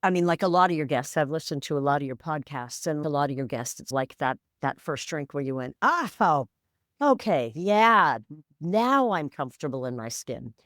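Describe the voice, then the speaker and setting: Low, smooth-voiced woman in her sixties. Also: sing-song, normal speed, a bit bright, no echo, studio quality. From San Francisco, USA. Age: 60s. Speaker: podcast guest. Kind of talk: reflective storytelling interview.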